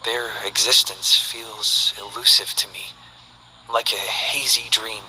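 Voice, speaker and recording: An actor playing a calm, reflective male scholar who speaks in a measured, precise way in game character voice lines. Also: fairly low pitch, slight background noise, exaggerated voice, poor audio. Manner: measured, thoughtful, precise delivery.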